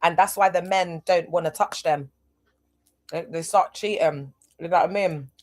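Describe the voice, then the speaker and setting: A woman hosting a livestream, talking in a casual, animated way, full of rhetorical asides. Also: lowish voice, moderate pace. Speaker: livestream host.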